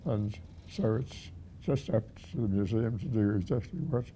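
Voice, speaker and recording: A man of about a hundred with a husky, aged voice, reminiscing haltingly as a retired museum director. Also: on the low side, mellow, a little hiss or hum. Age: 100. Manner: halting, with repeated words and pauses.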